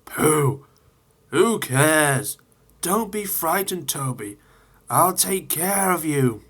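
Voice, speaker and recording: A man voicing a cheeky, bustling male tank engine who talks in a hurried, brisk way. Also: on the high side, a bit bright, pitched above the performer's own voice. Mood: impatient.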